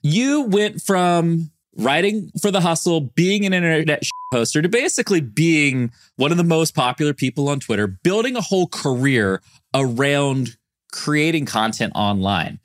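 A man co-hosting a podcast, upbeat and energetic in casual chat, laughing often. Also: a little high, normal speed, no echo, clean studio sound. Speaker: podcast co-host.

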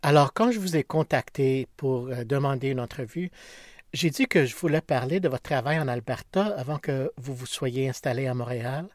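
An interviewer asking calm, formal questions and addressing the guest politely. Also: medium pitch, normal speed, no room sound, clean studio sound.

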